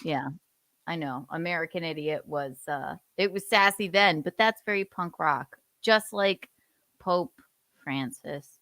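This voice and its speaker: A woman's voice: low-pitched, a little slow, a bit bright, no room sound. A woman co-hosting a podcast in casual, giggly, teasing banter. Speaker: podcast co-host. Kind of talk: casual chat.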